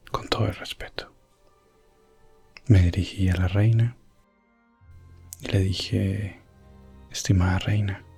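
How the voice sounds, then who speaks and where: Man as a slow, hushed, husky elf prince. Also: lowish voice, heavily acted. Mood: solemn, respectful. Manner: hushed, gentle, drifting in and out of whisper. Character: calm, courteous, principled male elf prince adventurer. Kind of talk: soft-spoken ASMR roleplay, medieval fantasy storytelling.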